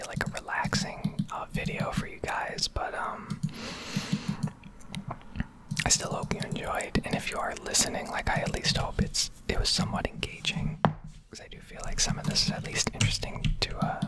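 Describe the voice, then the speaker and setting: A woman in her early twenties from Connecticut with an American accent, rambling casually in a whispered ASMR style. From Connecticut, United States. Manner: casual, rambling, occasional swearing. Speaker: ASMR gaming video creator.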